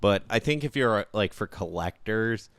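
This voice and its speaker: Deep-voiced man chatting on a podcast. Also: expressive, moderate pace, fairly bright tone, smooth, no room sound. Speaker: podcast co-host. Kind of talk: casual gaming podcast chat.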